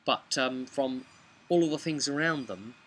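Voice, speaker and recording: A man's voice: mid-range voice, a little slow, a bit bright. A man in his thirties recounting a tabletop game as game master, mixing dramatic narration with casual asides, recorded outdoors. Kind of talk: casual vlog storytelling. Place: outdoors by a brick house wall. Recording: clear outdoor background noise.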